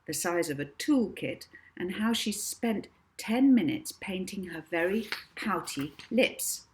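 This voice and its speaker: Low-pitched woman reading a children's story. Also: animated, slow pace, a little mellow, a little hiss or hum. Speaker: author reading own book. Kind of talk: scripted audiobook narration of a children's story.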